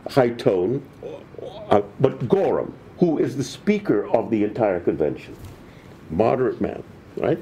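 An older man, a professor guest, explaining history in a halting, restarting way full of false starts. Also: medium pitch. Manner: halting, restarts often, animated. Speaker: political science professor guest. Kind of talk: conversational history discussion.

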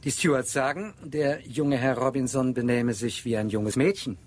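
An actor playing a formal, deferential and earnest ship's officer in a German radio drama. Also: male voice, medium pitch, moderate pace, a little hiss or hum, lightly acted. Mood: earnest, discreet.

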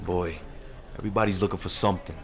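A man's voice: low-pitched, fairly even intonation, fast talker, very noisy. A man playing a fat, jovially menacing gangster boss in an old-time radio drama. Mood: menacingly genial. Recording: party crowd and music behind.

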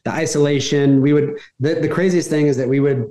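A man's voice: fairly high pitch, steady tone, brisk, warm tone. A man in his thirties from Texas, without a Southern accent, chatting casually as a podcast guest, laughing often and rambling with restarts over a video call. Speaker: podcast guest, retired soccer player. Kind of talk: casual chat. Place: small plain room indoors. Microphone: video call, laptop camera. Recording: roomy video call audio.

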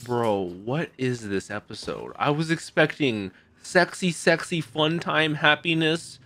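A young man reacting out loud to an anime episode, exclaiming and swearing casually into a boom mic in a home streaming room. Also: expressive, a little slow. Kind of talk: excited reaction commentary. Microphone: boom mic with headphones. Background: anime soundtrack playing.

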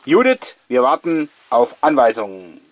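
A man's voice: mid-range voice, wildly swooping pitch, unhurried. A middle-aged man, chatting enthusiastically and effusively to viewers as the host of a collectors' show. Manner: effusive, addressing viewers directly. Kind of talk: enthusiastic chatty presenting to camera.

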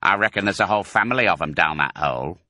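A man's voice: deep voice, animated, fast, bright, forceful tone, no echo, clean studio sound, exaggerated voice. A man voicing a sneering, confident farmer who speaks colloquially in a children's storybook reading. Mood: gloating.

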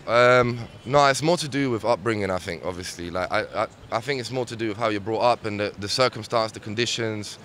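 A man's voice: on the low side, brisk, few pauses, a bit bright. A man in his thirties speaks in a laid-back, reflective way into a handheld mic on a city street. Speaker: interviewee. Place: busy city street outdoors. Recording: outdoor street noise behind.

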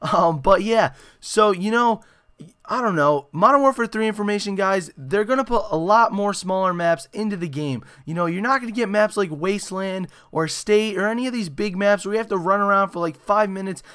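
A young man with a hoarse, raspy voice, giving excitable gameplay commentary full of "you know" and "uh". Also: high-pitched, moderate pace. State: hoarse after a concert. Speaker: gaming channel host. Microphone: desktop microphone.

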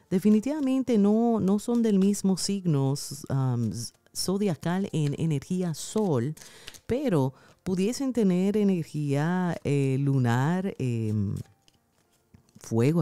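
A woman giving a weekly horoscope tarot reading indoors at a card table, speaking gently and affectionately to the viewer. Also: low voice, no room sound. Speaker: tarot reader.

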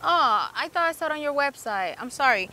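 A woman's voice: high voice, expressive, a little fast, background noise. A woman in her twenties, a vlog co-host, speaking casually and politely. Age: 20s.

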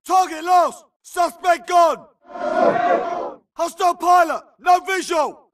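An actor playing an urgent infantry grunt in a video game, barking clipped combat callouts. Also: male voice, extremely high pitch, steady tone, slow pace, shouting, recorded from a distance, over a noisy crowd, very noisy. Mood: urgent alarm. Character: gruff, urgent infantry grunt soldier in battle. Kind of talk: shouted combat barks.

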